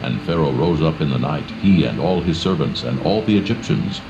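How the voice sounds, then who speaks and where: A man giving solemn scripted scripture narration in a measured, formal manner. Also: extremely low pitch, animated. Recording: dull recording with music behind.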